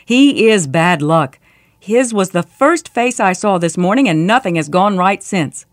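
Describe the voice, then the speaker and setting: An imperious storybook emperor acted by a woman, commanding and quick to exasperation. The lines are declaimed with big swings of pitch, in a dry, close sound. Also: animated, no echo, natural voice. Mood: angry.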